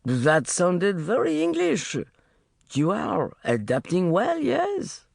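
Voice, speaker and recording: A smooth, genial Frenchman in his fifties with a French accent, as a woman narrator acts him out. His lines rise and fall in a lively way. The audiobook sound is dry and close. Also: on the low side, takes it slowly, dry recording, voice pushed up, exaggerated voice.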